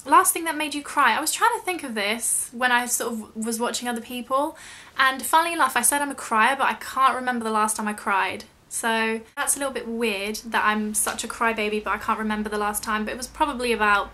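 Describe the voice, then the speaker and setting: A woman's voice: fairly high pitch, a little fast, hardly any pauses, pushed and bright. A young British woman chatting casually in a question-and-answer vlog, rambling a little and self-deprecating. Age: young adult. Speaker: YouTube vlogger.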